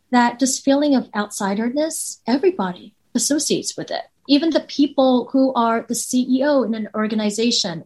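A woman's voice: on the high side, darkish tone. A woman with a Taiwanese accent, a professor speaking as a guest, earnest and reflective, building her points with rhetorical questions. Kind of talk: reflective interview answers.

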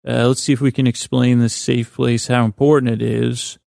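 A man hosting a bedtime podcast in a creaky, lulling voice, meandering gently and haltingly through a soothing monologue and often interrupting himself. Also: mid-range voice, level tone, dry recording, clean studio sound. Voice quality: creaky, gravelly. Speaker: podcast host.

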